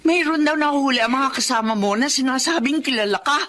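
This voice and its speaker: A woman's voice: high-pitched, fairly quick, a bit bright. A woman with a Filipino accent playing an anxious, emotional middle-aged wife and mother in a radio drama, plaintive in manner. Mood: urgent.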